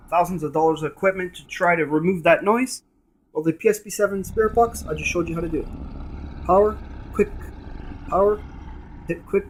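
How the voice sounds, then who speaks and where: A man giving a casual, emphatic and repetitive hands-on gadget demonstration. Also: on the high side. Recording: noisy room with device static. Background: radio scanning static.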